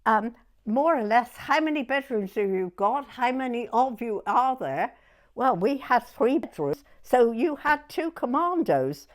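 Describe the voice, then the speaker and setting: A woman in her nineties with a southern English accent, reminiscing in an unhurried, halting way in short broken phrases. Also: on the high side, very animated. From southern England. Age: 90s. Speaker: oral history interviewee. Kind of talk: personal reminiscence in conversation.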